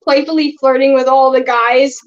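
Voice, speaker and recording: High-voiced young woman, hesitant and earnest. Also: flat delivery, moderate pace, highs cut off, a crowd in the background. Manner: hesitant, restarts often, earnest. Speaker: podcast co-host. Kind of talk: casual chat.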